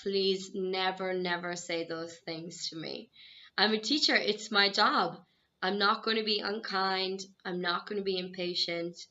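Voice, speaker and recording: A young Irish woman, an English teacher, introducing herself to students in a friendly, encouraging and clearly measured way. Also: mid-range voice, steady tone, bright, forceful tone. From Ireland. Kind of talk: self-introduction video to students. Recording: dull, slightly lo-fi sound.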